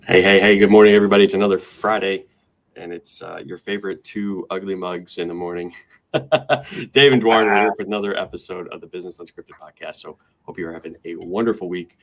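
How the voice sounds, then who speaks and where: Man, podcast co-host, very low voice, casual upbeat chat, fillers and restarts. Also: fairly even intonation, fairly quick.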